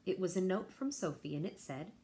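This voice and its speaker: Low-voiced woman reading with lively swings. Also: brisk, slight background noise. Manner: expressive, speaks to young listeners. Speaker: teacher reading a picture book aloud. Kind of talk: animated children's read-aloud with asides.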